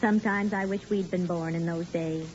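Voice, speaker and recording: A woman's voice: on the low side, fairly even intonation, normal speed, lightly acted. An actress playing a sensible, teasing wife and mother in a 1940s radio sitcom, dryly chiding. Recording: muffled, noisy 1940s radio recording.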